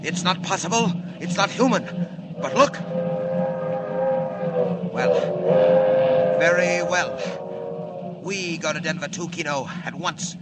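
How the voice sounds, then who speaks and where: Formal, menacing radio crime boss. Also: male voice, fairly high pitch, animated, takes it slowly, pauses often, over loud music. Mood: agitated, commanding. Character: scheming criminal boss, formal, commanding, suspicious. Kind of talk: 1940s radio drama acting. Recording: noisy old radio broadcast recording.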